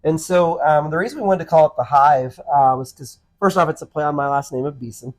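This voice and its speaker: A man's voice: fairly high pitch, a little fast. A man in his thirties co-hosting a podcast, talking in an easygoing, earnest way with frequent ums and restarts. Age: 30s. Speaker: podcast co-host, pastor. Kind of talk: casual conversational podcast chat.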